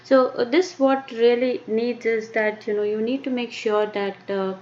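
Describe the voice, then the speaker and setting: A woman with an Indian accent presenting a live-streamed tech lesson, talking haltingly with many fillers and restarts. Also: fairly high pitch, steady tone, a little mellow. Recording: muffled lo-fi stream with faint noise.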